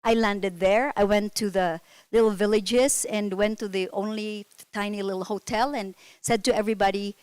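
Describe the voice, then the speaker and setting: A woman's voice: medium pitch, steady tone, normal speed, a little mellow, clear, resonant tone, dry recording. A middle-aged woman from the Philippines, speaking on a conference panel and telling stories in an earnest, candid, self-critical way.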